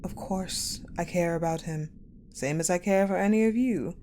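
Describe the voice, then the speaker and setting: A woman playing a cold, guarded, possessive war general in a dramatic audio roleplay, speaking in a measured, haughty, pensive way with deliberate pauses. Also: on the low side, mellow, natural voice. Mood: dry, guarded. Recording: clearly noisy, ambient sound bed.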